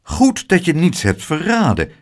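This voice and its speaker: Een man die een vriendelijke, kalme oude boekhandelaar voorleest in een kinderverhaal. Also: measured pace. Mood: kindly. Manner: soothing, low and calm. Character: kind calm old bookseller, gentle man.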